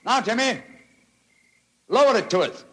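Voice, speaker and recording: An actor playing a brilliant, commanding British gentleman detective with crisp, formal phrasing in an old-time radio drama. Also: very high voice, very animated, measured pace, heavily acted. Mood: urgent, calling. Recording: vintage radio recording, faint noise.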